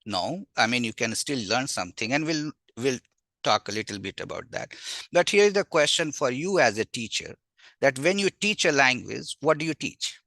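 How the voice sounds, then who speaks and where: A man with an Indian accent and Magahi as his native language gives an informal academic lecture. His voice is bright and forceful, captured dry with hardly any room sound. He teaches in an animated way, putting questions to his listeners and answering them himself. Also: mid-range voice, moderate pace, no room sound. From Bihar, India. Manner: animated, asks and answers own rhetorical questions. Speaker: university language teacher, invited webinar speaker.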